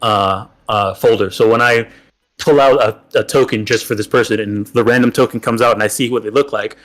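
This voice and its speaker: Guy talking thru his game setup, lots of uhs and restarts, mid pitch. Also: steady tone, fairly bright tone. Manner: halting, lots of fillers and restarts. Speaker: game master guest. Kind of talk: casual chat about tabletop games.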